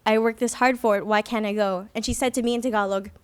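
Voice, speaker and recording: A woman's voice: mid-range voice, fairly even intonation, fast, smooth. A young woman from the Philippines giving an earnest, reflective personal testimony at a conference, with light self-deprecating humor. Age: young adult. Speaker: student activist speaker. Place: conference hall.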